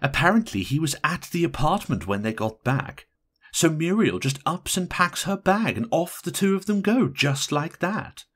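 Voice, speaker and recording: A man's voice: a little high, big pitch swings, normal speed, fairly bright tone, husky, pitched above the performer's own voice, heavily acted. A man voicing a brash, irritable married woman in an audiobook, blunt, emphatic and sarcastic. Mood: brisk, sardonic. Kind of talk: audiobook character voice.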